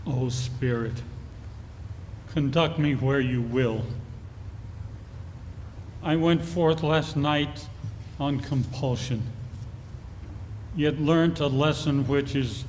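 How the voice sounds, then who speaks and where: In an echoey, noisy hall, an old miser acted by a man speaks with anguish and pleading. The words come extremely slowly, in dramatic old-time radio style. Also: medium pitch, darkish tone, close to an everyday voice. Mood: humbled. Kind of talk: 1940s-style radio play acting. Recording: echoey hall, background noise.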